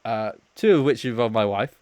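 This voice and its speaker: A man's voice: mid-range voice, expressive, fairly quick, darkish tone, no room sound. A young man co-hosting a podcast, telling rambling holiday stories and laughing along as he talks. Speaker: podcast co-host. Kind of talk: casual storytelling chat.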